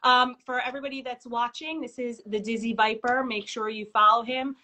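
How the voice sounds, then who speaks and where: Woman host, 40s, higher, bright, effusive interviewer. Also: steady tone, brisk. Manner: effusive and enthusiastic. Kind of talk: live interview. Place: indoor room. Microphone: video call.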